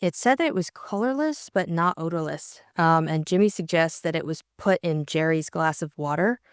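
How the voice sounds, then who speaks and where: A woman's voice: deep voice, animated, moderate pace, clean tone, dry recording, clean studio sound. A young woman co-hosting a casual book discussion, explaining her thoughts carefully. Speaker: audiobook co-host and narrator.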